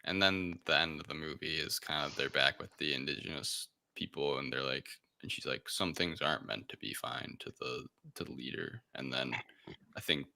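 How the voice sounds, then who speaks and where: A man's voice: rumbling low, fairly even intonation, normal speed, vocal fry, bright, forceful tone, no room sound. A man co-hosting a podcast, casually recapping a film in a hesitant, rambling way. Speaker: podcast co-host.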